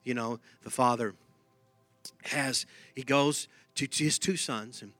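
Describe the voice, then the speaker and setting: A man's voice: mid-range voice, unhurried, sharp tone, no room sound, faint music behind. A man with a Texan accent preaching a sermon in an emphatic, conversational way, often repeating his phrases. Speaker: pastor.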